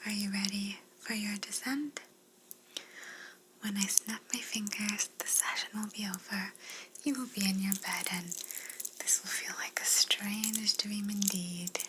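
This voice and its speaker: A woman playing a polite, soothing female alien abductor in a whispered ASMR roleplay, gently reassuring and clinical in manner. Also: mid-range voice, fairly even intonation, a little slow, very noisy, close to an everyday voice. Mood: calm, musing. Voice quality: breathy unvoiced whisper.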